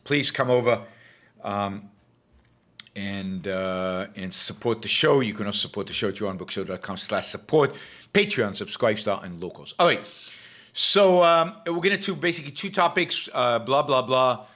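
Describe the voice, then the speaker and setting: A man hosting his talk show, hoarse from losing his voice, rambling with many fillers and restarts. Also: fairly low pitch, animated, moderate pace, fairly bright tone. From Israel. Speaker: show host. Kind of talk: casual livestream talk.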